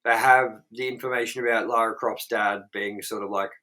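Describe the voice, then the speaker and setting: A man's voice: on the low side, normal speed. A young man co-hosting a movie review show, talking bluntly and casually with plenty of swearing. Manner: blunt, profane and animated. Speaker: co-host.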